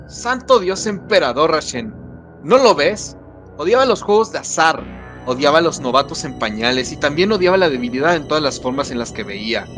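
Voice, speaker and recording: A man playing an anxious, earnest soldier in an audio drama, troubled and hesitant as he confides in his sergeant. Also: mid-range voice. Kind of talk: Spanish-language audio drama acting.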